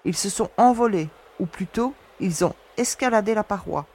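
A woman acting a resolute young space explorer who reasons calmly and gives orders. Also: mid-range voice, moderate pace, husky. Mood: steady, reasoning. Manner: calm, reasoning, commanding. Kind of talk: audio drama acting.

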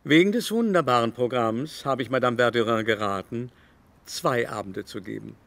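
Radio play: older society baron, mid-range voice, vain, affected, haughty, insinuating. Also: male voice, medium pitch, no echo. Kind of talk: literary radio play dialogue.

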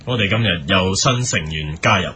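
A man's voice: low-pitched, animated, brisk, pushed and bright, husky, heavily acted. A man playing a bossy, earnest superhero team leader in a comic radio drama, scolding and commanding melodramatically. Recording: noisy amateur recording.

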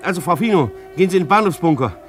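Ein Schauspieler spielt im Hörspiel einen pedantischen, ängstlichen deutschen Gerichtsvollzieher, aufgeregt und stockend. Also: male voice, fairly high pitch, expressive, very fast, faint music behind, close to an everyday voice. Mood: excited, officious. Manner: agitated, stammering, officious. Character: fussy, anxious German male bailiff, rule-bound civil servant. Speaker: actor in a radio play. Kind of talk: German radio drama acting.

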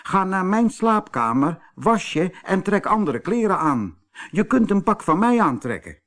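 A man's voice: high voice, sing-song, unhurried, a bit bright, voice pushed up, exaggerated voice. A man voicing a caring, anxious male water rat in a storybook, earnest and fretful.

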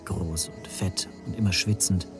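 An actor playing an anxious, wry spy suspect who narrates reflectively in the first person in a radio drama. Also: male voice, low voice, fairly even intonation, unhurried, music in the background.

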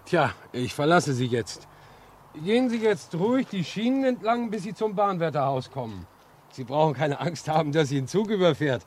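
A chatty, obliging railway worker with a fairly high male voice that swings up and down. His manner is folksy and hesitant, in an old radio play with slight noise. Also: very animated, normal speed. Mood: helpful, cautioning. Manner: folksy, hesitant, chuckling asides. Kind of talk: 1950s German radio drama acting. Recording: noisy old 1950s radio recording.

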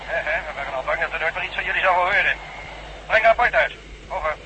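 Radio-play officer male actor, grave and formal, high-pitched voice, noisy transmission. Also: quick pace, natural voice. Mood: businesslike. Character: serious officer speaking over radio. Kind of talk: Dutch radio drama acting.

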